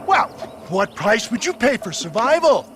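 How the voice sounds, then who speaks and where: A man's voice: very high-pitched, big pitch swings, measured pace, background noise, full character voice. A man playing an old, pompous, wheedling swindler in a video game, with florid salesman patter and stammering excuses. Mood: wheedling, defensive. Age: older. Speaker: elixir peddler. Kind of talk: dramatic video game cutscene acting.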